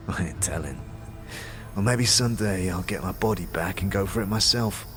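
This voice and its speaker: An actor playing a talking skull, a dead anarchist who is bitter, conspiratorial and speaks in streetwise slang, in a fantasy audio drama. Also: male voice, sits low, moderate pace, music in the background, lightly acted. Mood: taunting. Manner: streetwise slang, scornful, guarded.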